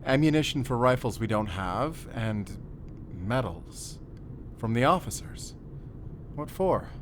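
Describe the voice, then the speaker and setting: A man playing a battle-worn, calm and wry werewolf hunter in an audio roleplay, speaking deliberately in short, paused phrases with quiet tenderness. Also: mid-range voice, a little hiss or hum. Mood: grave, resolute. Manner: measured, deliberate, pausing between short phrases. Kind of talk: cinematic audio roleplay acting.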